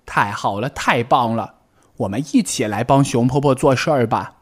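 A man's voice: medium pitch, expressive, moderate pace, voice pushed up, natural voice. A man voicing a clumsy, hasty male rabbit in a storybook, chirpy and a little whiny. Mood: cheerful.

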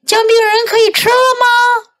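A woman's voice: very high-pitched, little pitch movement, moderate pace, a bit bright, pitched above the performer's own voice. A woman voicing a hungry, impatient little old man in a children's storybook, plaintive and exclaiming. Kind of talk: bilingual children's storybook character voice.

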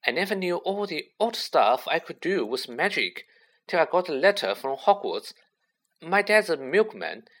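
A man acts out an eager little schoolboy in a storybook. He is high-pitched and lively, gushing in awe as if short of breath with excitement. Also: a little slow, few pauses, full character voice, poor audio. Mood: eager, awestruck. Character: small, eager, awestruck schoolboy fan with a camera.

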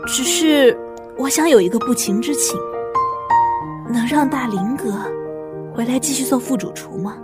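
An actress playing a composed, ambitious young hotel cook in a Mandarin radio drama, measured and coolly cynical. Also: female voice, sits high, loud music behind the voice, lightly acted. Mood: polite, assured. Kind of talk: Mandarin audio drama acting with narration.

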